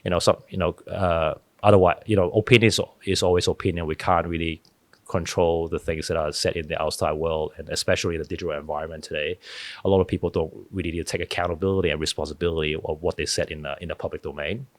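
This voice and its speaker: Deep-voiced man, dry sound. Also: steady tone, fast talker, no echo. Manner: earnest, storytelling, frequent restarts. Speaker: podcast guest, author. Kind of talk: casual interview talk.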